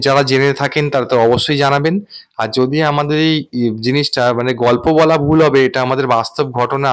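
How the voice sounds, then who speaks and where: A man hosting a vlog, recounting a personal experience in an earnest, wordy, explanatory way. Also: mid-range voice. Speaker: vlogger and host.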